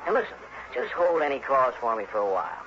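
An actor playing a harried, chummy insurance agent in an early 1960s radio drama, earnest, hurried and folksy. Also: male voice, mid-range voice, big pitch swings, lightly acted. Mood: businesslike. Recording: noisy, dull vintage radio recording.

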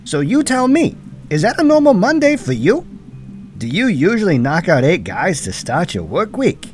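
A man's voice: sits high, wildly swooping pitch, moderate pace, a little mellow, soft background music. A man playing a cocky, exasperated hard-boiled cop in a noir audio drama, sardonic and streetwise with punchy delivery. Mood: sarcastic, exasperated.

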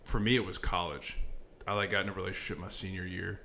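Laid-back low-voiced man in his twenties. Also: flat delivery, fast talker, creaky, bright, forceful tone, slight background noise. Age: 20s. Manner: laid-back storytelling, laughs often, heavy on filler likes. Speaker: podcast co-host. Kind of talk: casual chat.

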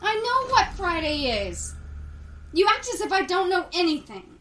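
An actress playing a secretive young woman from a cursed family, guarded and hushed. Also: extremely high pitch, sing-song, normal speed, soft background music, heavily acted. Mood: pleading. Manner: hushed, guarded, then pleading. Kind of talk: audio drama acting.

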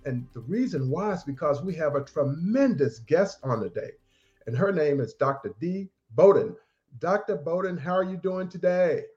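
Upbeat, formal male podcast host, fairly high voice, muffled lo-fi recording. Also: lively intonation, moderate pace, darkish tone, soft background music. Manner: upbeat, formal, full of praise. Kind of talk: podcast interview hosting. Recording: dull, lo-fi sound.

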